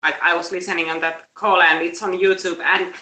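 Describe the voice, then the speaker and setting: Bright, echoey woman. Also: fairly low pitch, level tone, brisk. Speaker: live-stream host and commentator. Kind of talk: casual news commentary. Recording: roomy, echoey live-stream audio.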